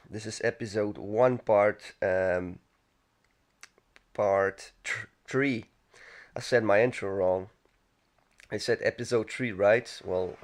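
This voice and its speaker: Rambling low-voiced man gaming. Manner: chatty, rambling, self-correcting. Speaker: let's play gamer. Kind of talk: casual gaming commentary. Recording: music playing under the voice.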